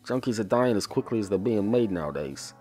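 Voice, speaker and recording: Guy doing a gruff shady cop for a noir audio drama, deep voice, curt and jaded, faint music. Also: lively intonation, a little fast, mellow. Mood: weary, sardonic. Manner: curt, world-weary, sardonic. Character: gruff cynical shady male police officer.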